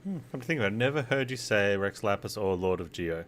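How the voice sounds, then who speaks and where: A man's voice: lowish voice, animated, fast talker, slight background noise. A man giving casual, offhand gameplay commentary as a streamer, with dry asides. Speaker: video game streamer. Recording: music behind the voice.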